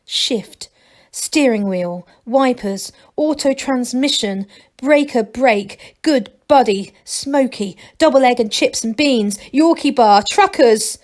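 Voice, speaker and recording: A woman voicing an eager, impatient young male gnome who talks with excitable pride in a children's storybook. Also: a little high, slow pace. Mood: muttering, excited. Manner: excitable, proud, trucker slang.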